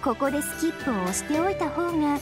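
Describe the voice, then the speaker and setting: A woman's voice: sits high, fast talker, over music, close to an everyday voice. A woman voicing a devilish young female AI in an anime game, coy and polite with a mock-sweet, sinister edge. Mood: playfully ominous. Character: teasing, devilish young female moon super AI, sweetly menacing. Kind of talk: Japanese anime game voice acting.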